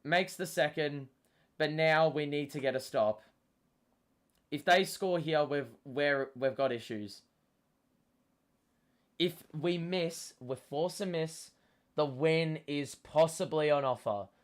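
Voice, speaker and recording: A young man hosting a live basketball watchalong, swearing often and yelling at big plays, his voice going hoarse and cracking. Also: fairly high pitch, slow pace, long pauses. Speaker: host.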